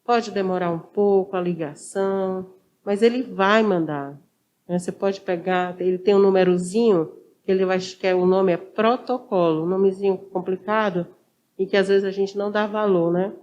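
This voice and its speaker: Woman, Brazilian accent, interview guest, conversational, didactic, medium pitch, faint ambient noise. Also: steady tone, normal speed, a little mellow, dull audio. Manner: conversational, didactic, repeats key points. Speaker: social worker, interview guest. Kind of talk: informal explanatory interview.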